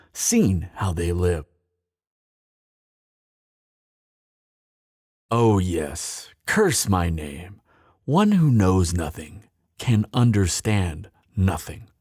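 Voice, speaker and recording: A bitter, mocking male thief played by a man speaks in a close, dry recording. His delivery swings widely in pitch, sardonic and theatrical. It is a fantasy roleplay spoken straight to the listener, hardened by grief. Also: darkish tone, slightly rough, no echo, heavily acted. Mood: scornful. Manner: sardonic, theatrical, deliberate pauses. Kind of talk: dramatic fantasy audio roleplay, addressed to listener.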